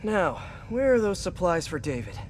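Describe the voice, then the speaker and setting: An actor playing a youthful, kind-hearted superhero in a video game, with an American accent and a casual, wry, friendly way of talking. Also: male voice, sits high, sing-song, moderate pace. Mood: friendly, helpful. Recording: clear background noise.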